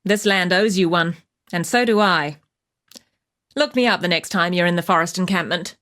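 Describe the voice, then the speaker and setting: Casting: actress for a game's wilderness huntress, slightly low register, purposeful, wry and matter-of-fact. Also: female voice, moderate pace, a bit bright, natural voice. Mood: approving, purposeful. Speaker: game character voice actor. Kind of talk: video game quest-giver dialogue.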